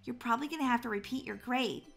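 A woman voicing a calm, gently firm mother in an acted children's family story, speaking in a measured way. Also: fairly high pitch, gravelly.

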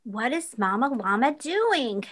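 A woman reading a children's picture book aloud with expressive, dramatic emphasis for young listeners. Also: on the high side, slow. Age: adult.

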